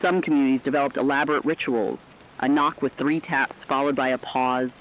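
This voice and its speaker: A man's voice: medium pitch, fairly even intonation, brisk, a bit bright. Grave man narrator with dull, lo-fi sound. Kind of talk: scripted apocalyptic horror narration.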